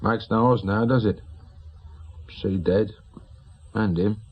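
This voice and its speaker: Husky troubled English widower. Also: male voice, fairly low pitch, steady tone, slow, lo-fi sound. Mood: grieving, puzzled. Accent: English, working-class. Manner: colloquial, plain-spoken. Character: grieving widowed small shopkeeper, troubled and insistent. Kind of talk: naturalistic radio drama acting.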